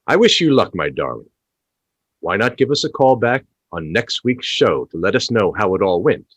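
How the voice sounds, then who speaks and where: Man as a low-voiced, animated phone-in host. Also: normal speed, husky, no echo. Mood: smooth advising. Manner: theatrical, wry. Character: cheeky, incredulous British radio phone-in host. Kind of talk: radio play comedy acting.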